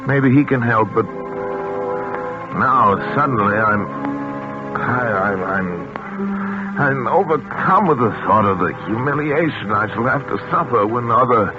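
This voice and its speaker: A man's voice: medium pitch, animated, natural voice. Casting: male actor for middle-aged tormented husband and scientist, stammering, 1940s radio melodrama, muffled sound with prominent music. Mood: brooding despair. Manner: halting, stammering, overwrought. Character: desperate, tormented male scientist and husband losing his mind. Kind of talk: 1940s American radio thriller acting.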